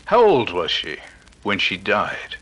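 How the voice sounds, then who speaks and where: A courteous detective turned radio interviewer, acted by a man. His fairly low voice is forceful and crisp-edged, moving expressively through formal 1930s style phrasing. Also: huge pitch swings, slow, a little hiss or hum, heavily acted, rough recording. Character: suave male detective hosting a radio broadcast.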